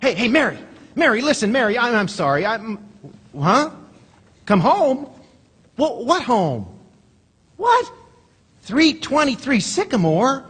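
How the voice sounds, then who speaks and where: An actor playing an earnest, flustered young loan manager who stammers and pleads, in old-time radio drama style on a theater stage. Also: male voice, very high-pitched, sing-song, slow pace, pauses often, fairly bright tone, breathy edge, heavily acted. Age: young adult. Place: live stage in a large theater. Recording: echoey theater hall.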